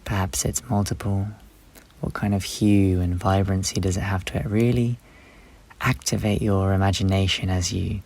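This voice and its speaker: A man leading a guided meditation, speaking unhurriedly in short instructive phrases with long pauses between them. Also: low voice, level tone, gravelly, darkish tone.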